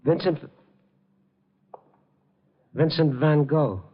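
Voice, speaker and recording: An actor playing a wary, world-weary newspaperman in a 1950 radio drama, with a dry, deliberate, hard-boiled delivery. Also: on the high side. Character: probing, wary, world-weary male night-beat newspaperman narrating his case. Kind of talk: 1950 radio drama acting and first-person narration. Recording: dull old radio recording with music.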